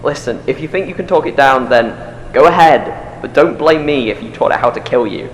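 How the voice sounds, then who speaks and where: A man's voice: mid-range voice, lively intonation, moderate pace, a little hiss or hum, natural voice. An actor playing an irritable, dismissive male tech worker in an audio drama, blunt and colloquial. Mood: exasperated. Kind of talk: naturalistic audio drama acting. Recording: echoey, long reverb.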